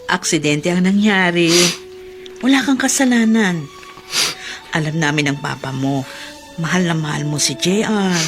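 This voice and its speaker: A woman playing a gentle, soothing mother in a radio drama, with a Filipino accent. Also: fairly low pitch, measured pace, pauses often, soft background music. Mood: consoling. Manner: soothing, reassuring.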